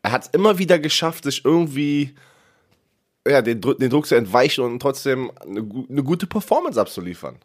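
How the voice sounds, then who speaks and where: Sick, congested male co-host, mid-pitched, stammering and animated. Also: normal speed. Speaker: podcast co-host. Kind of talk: casual sports chat.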